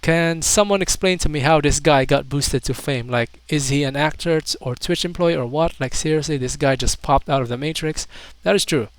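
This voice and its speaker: A man with a fairly high, smooth and clear voice gives casual online commentary. He reads comments aloud and adds incredulous, mocking asides in a hesitant way. The sound is close and dry. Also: normal speed, few pauses, no echo. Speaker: YouTube commentator.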